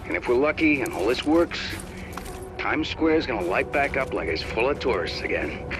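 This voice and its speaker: An actor with an American accent playing a wisecracking, colloquial tech operator briefing an agent in a video game. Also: male voice, medium pitch, lively intonation, moderate pace, over music, lightly acted. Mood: wry, instructive. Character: wry, folksy American male tech operator guiding an agent. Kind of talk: game character radio briefing.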